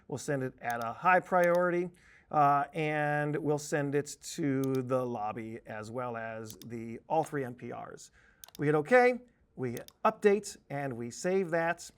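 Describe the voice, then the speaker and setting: A man working as a software trainer, talking through an instructional demonstration in an informal, explanatory way with playful asides. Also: medium pitch, lively intonation, measured pace. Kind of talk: conversational instructional screen-share tutorial.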